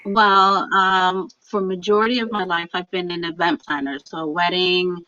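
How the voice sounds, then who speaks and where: Bright-voiced woman guest on a dull line. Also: lowish voice, steady tone, normal speed, no echo. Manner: upbeat, chatty with fillers. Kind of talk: casual interview talk.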